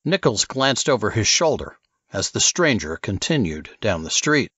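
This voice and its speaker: A man's voice: lowish voice, sing-song, moderate pace, fairly bright tone, slightly rough, dry recording, lo-fi sound. A man reading scripted fiction as an audiobook narrator.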